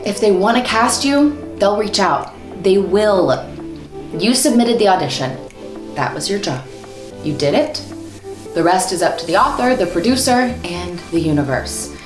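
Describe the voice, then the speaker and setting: A woman's voice: mid-range voice, expressive, a little slow, echoey room, music in the background. A woman in her thirties, a voice actor, hosting an upbeat instructional vlog to camera from a small room at home and gesturing a lot as she talks. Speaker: voice actor and host.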